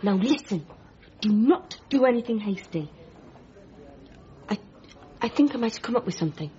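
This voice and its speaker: An actress plays an urgent, exasperated woman in a radio comedy drama. Her voice sits fairly high, with a soft dark tone and animated pitch. She is brisk and insistent. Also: highs cut off, close to an everyday voice. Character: brisk, exasperated, resourceful woman confronting a man.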